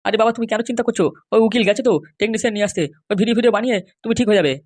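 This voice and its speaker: Woman doing a very fast, whining henpecked husband. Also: a little high, fairly even intonation, a little mellow, lightly acted. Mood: placating. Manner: pleading, whining. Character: meek, henpecked ailing husband begging his wife. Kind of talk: amateur comedy cartoon dialogue.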